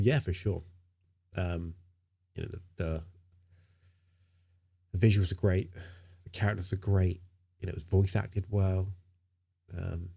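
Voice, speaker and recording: A man's voice: sits low, creaky, mellow, breathy edge. A man streaming live in casual chat, sounding nasal from a stinking cold, rambling with lots of fillers and repeated words.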